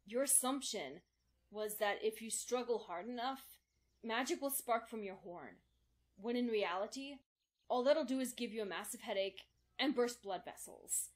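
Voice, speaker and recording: A woman playing a calm, exacting female unicorn mentor in a fan audio drama, speaking in a formal, instructive manner. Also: a little high, moderate pace, lightly acted.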